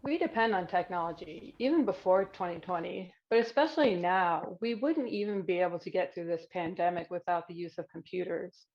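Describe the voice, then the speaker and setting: Woman, prepared talk, persuasive, lowish voice, dull muffled recording. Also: a little fast, hardly any pauses. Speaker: competition presenter.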